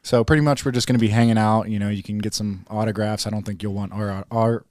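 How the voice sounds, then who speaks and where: Lowish-voiced podcast co-host. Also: male voice, steady tone, quick pace, darkish tone, no room sound, clean studio sound. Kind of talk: casual chat.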